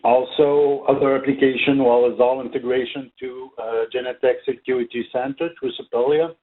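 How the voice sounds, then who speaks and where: A man giving an unscripted technical product presentation, speaking haltingly with very frequent filled pauses. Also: medium pitch, fairly even intonation, a little hiss or hum. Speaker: product trainer presenting for a company. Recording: narrow, tinny phone-like line.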